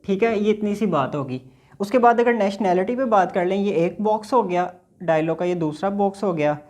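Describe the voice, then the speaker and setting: A man's voice: high-pitched, normal speed, a little mellow. A man teaching basic English in a recorded lecture, patiently explaining and reading example dialogues aloud.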